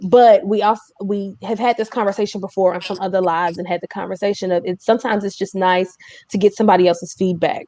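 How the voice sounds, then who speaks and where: A woman's voice: mid-range voice, warm tone, no room sound, rough recording. A woman hosting a casual livestream chat, talking in an informal, chatty way with joking asides. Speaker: host of a music community livestream.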